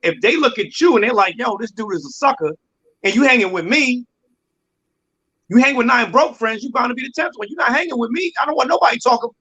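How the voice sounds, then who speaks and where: A man's voice: extremely high pitch, animated, fast talker, bright, forceful tone, husky, rough recording. A man in his forties on a casual discussion panel, talking in an emphatic, preachy way and repeating words for stress. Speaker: podcast panelist.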